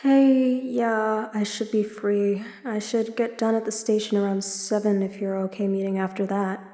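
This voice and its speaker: A woman's voice: mid-range voice, fairly even intonation, moderate pace, warm tone, dry recording, studio quality, natural voice. A woman playing a guarded, protective police chief and weary, stubborn older sister in an audio drama. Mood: weary, muttering.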